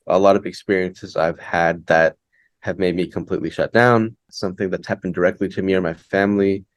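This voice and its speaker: A man, a guest poet answering interview questions thoughtfully, often pausing and restarting as he thinks aloud. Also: low-pitched, dry recording. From Hamilton, Ontario, Canada. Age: late 20s. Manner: reflective, thinks aloud with many fillers and restarts. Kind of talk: conversational interview.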